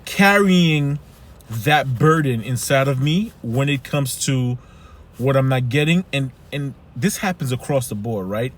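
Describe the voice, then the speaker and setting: A man's voice: mid-range voice, animated, measured pace. A man with a Haitian accent giving an earnest motivational talk as a life coach, often asking "right?". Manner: earnest, repeats "right?" and "keep it moving".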